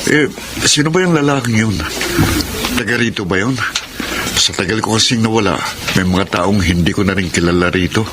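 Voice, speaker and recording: A man's voice: fairly low pitch, normal speed, heavy background noise, close to an everyday voice. An actor playing a young man just released from prison, earnest and respectful, in a radio drama. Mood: puzzled. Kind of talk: classic radio drama acting. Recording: radio drama with loud music.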